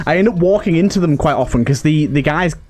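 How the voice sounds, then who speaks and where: A man's voice: a little high, expressive, fast talker, darkish tone. A man hosting a video game playthrough with casual, conversational commentary. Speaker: gaming host. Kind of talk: casual let's play commentary.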